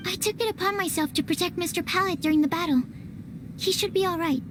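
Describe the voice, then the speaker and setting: A woman's voice: extremely high pitch, fast. A woman voicing a timid, earnest young woman alchemist in a video game, hesitant, polite and apologetic in formal phrasing. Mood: polite concern. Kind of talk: animated game dubbing. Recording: clearly noisy background.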